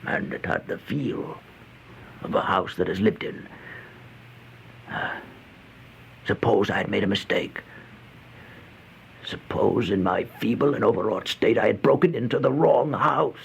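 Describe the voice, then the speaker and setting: An actor playing a starving, feeble, fearful vagrant in an old-time radio horror monologue, halting and stammering, with gasps and whispers. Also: male voice, lowish voice, creaky. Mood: fearful, uneasy. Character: starving, feeble, once-proud male vagrant turned housebreaker, haunted and fearful. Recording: noisy old radio drama recording.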